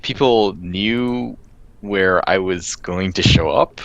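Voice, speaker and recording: A man's voice: deep voice, slow, a bit bright, no echo, rough recording. A man co-hosting a podcast, chatting casually about video games, rambling with frequent laughs. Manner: rambling, laughs often, many restarts. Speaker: podcast co-host. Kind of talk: casual gaming chat.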